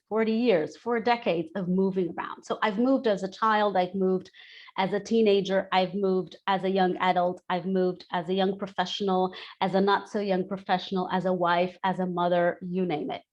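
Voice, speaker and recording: Chatty woman coach from Brazil. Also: medium pitch. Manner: chatty, candid, restates herself. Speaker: expat coach. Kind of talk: webinar presentation.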